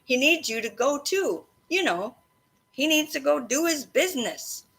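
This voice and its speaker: A woman teacher reading a storybook aloud to children expressively, pausing to explain and prompt her listeners. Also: high voice, expressive, vocal fry, a bit bright. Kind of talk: interactive children's read-aloud of a storybook, with questions and asides. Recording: faint background noise.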